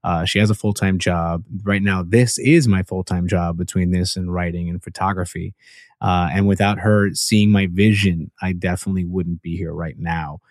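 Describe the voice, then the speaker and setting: A man hosting a podcast, his voice on the low side. He chats in a friendly way and laughs easily, recorded dry and close. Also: steady tone, moderate pace, darkish tone, no echo. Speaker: podcast host. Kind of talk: casual interview chat.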